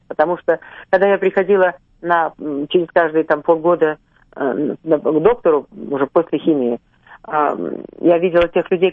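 An older woman from Russia, a singer, speaking emotionally in a radio interview, with drawn-out fillers and stray English words. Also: low voice, no room sound. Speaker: singer guest. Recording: tinny phone line, dry.

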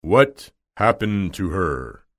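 A man voicing a great and terrible wizard, imperious, pompous and drawn-out, in a children's storybook. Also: deep voice, sing-song, voice pushed down, heavily acted. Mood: evasive. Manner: slow, drawn-out, pompous delivery.

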